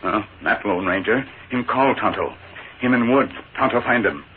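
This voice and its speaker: Man playing a terse, low-voiced radio western sidekick. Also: loud music behind the voice, close to an everyday voice. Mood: stoic, curious. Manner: clipped pidgin English, terse, deliberate. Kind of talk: 1940s radio western acting. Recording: tinny, noisy 1940s radio recording.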